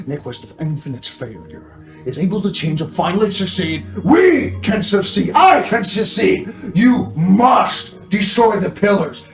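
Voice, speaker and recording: Gargantuan monster, angry, grandiose, menacing, drawn-out delivery, tabletop fantasy villain, faint music behind. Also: male voice, sits high, gravelly, reverberant, voice pushed up, exaggerated voice.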